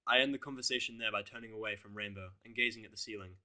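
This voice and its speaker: A man's voice: lowish voice, fairly even intonation, racing, pushed and bright. A man reading a first-person fan fiction story as narrator, with a blunt, sardonic delivery.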